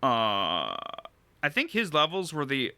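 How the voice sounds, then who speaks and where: A man giving casual, unscripted game commentary as a reviewer, rambling and halting as he thinks aloud and swearing casually. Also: mid-range voice, huge pitch swings, pushed and bright, no echo. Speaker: video game reviewer and streamer.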